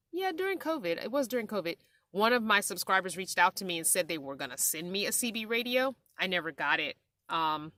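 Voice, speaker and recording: A woman with a slightly low, bright and forward voice talks casually to her viewers like a vlogger. She explains in a conversational way, thinking aloud, and the sound is dry and close. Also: no echo. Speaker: van life vlogger and host. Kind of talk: casual explainer chat to camera.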